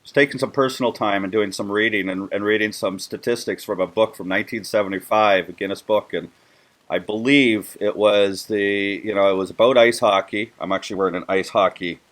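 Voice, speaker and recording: Low-voiced man hosting casually. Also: steady tone, fairly quick, a bit bright. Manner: effusive, repeats words as he goes. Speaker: interview host. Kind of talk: casual, promotional interview chat.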